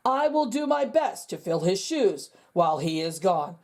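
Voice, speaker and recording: A woman voicing a small, earnest frog who serves as a school principal, speaking slowly and formally in a croaky voice. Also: lowish voice, very animated, a little mellow, exaggerated voice. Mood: polite. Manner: slow, formal and courteous. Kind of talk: storybook character voice.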